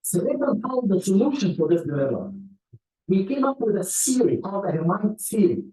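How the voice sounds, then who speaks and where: A man with a fairly high voice lectures in a halting way, stumbling over repeated words and fillers. He sounds far from the microphone in an echoey room, like an old archive clip. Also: sing-song, mellow. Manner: hesitant, many fillers and repeats. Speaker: scholar being interviewed. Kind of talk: lecture-style explanation.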